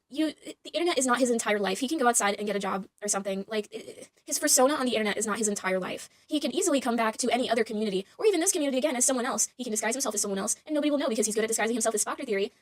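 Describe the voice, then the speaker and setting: Female online opinion-giver, extremely quick talker, defensive and self-justifying, close dry recording. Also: fairly high pitch, expressive, no echo. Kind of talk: casual opinion video talk.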